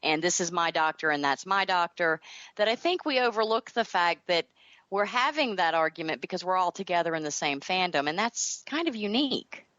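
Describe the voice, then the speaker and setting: A woman's voice: fairly low pitch, animated, fairly quick, fairly bright tone, dry recording. A woman from the American South chatting casually as a guest in an interview, heard over muffled, remote-sounding audio.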